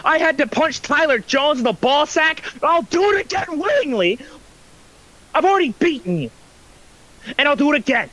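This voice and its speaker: A man with a strikingly high voice delivers a heated wrestling promo. He is profane and aggressive, taunting his rival with every line. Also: sharp tone, rough recording. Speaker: online wrestling league competitor.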